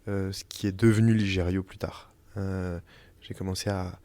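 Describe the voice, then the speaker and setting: A young French man, an interviewee telling his story in casual conversation, pausing often with fillers and restarts. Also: sits low, level tone, warm tone, no room sound. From France. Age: young adult. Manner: hesitant, many fillers and restarts. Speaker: interviewee, company founder. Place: family house in the countryside.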